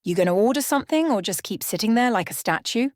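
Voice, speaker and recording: A woman voicing a grizzled, scowling male bartender who grumbles sardonically in a low growl. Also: lively intonation, fast talker, no echo, clean studio sound, lightly acted. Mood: gruff, sardonic.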